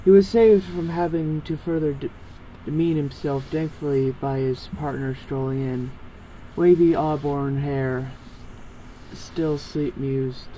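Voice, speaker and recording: Mellow-voiced man, informal and stumbling as he chats or reads, on a slightly noisy group call. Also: on the high side, steady tone. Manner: halting, stumbles when reading. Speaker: participant in a group fan fiction reading. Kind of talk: casual chat and halting read-aloud. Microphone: internet group voice call.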